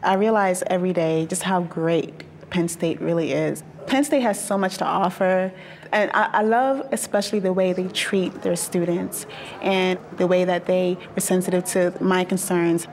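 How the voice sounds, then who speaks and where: A young woman from Maryland, a graduate student, speaking earnestly and enthusiastically with thoughtful pauses. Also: on the low side, steady tone, darkish tone. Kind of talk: casual group interview.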